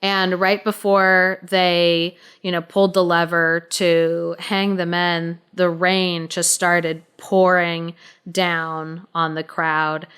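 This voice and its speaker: Woman, smooth resonant voice, slightly low pitch, casual storytelling, halting informal phrasing. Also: level tone, a bit bright. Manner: informal, halting, explanatory delivery. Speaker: podcast co-host and storyteller.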